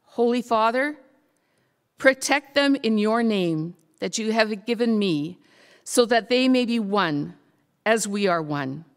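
An older woman reads scripture in a formal, measured way. Her delivery is slow and phrase by phrase, in a clear voice. The church hall adds a long echo. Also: fairly high pitch, animated. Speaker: scripture reader. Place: church sanctuary at a lectern.

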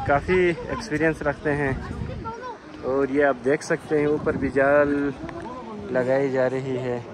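A man speaks in a chatty, excited vlogging style, dropping plenty of fillers as he talks. His voice sits a little high for a man. He is recorded outdoors by a river, with a crowd of people chattering around him. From Pakistan. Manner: enthusiastic, chatty, with frequent "ji" and "e" fillers. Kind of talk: outdoor vlog narration.

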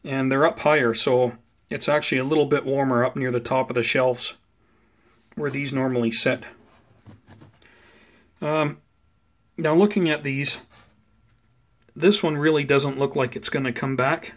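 A man giving a casual, unscripted plant update in an unhurried, explanatory, conversational way, recorded indoors on a handheld camera. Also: mid-range voice, fairly even intonation. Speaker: host of a plant-growing video channel. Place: indoors at home beside potted seedlings.

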